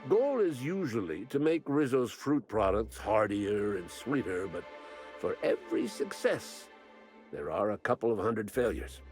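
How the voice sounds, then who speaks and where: A man's voice: lowish voice, sing-song, unhurried, a little mellow, faint music behind. An older man playing a crotchety, eccentric old botanist in a video game, rambling and grumbling with morbid bluntness. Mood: matter-of-fact, wry. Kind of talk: video game character acting.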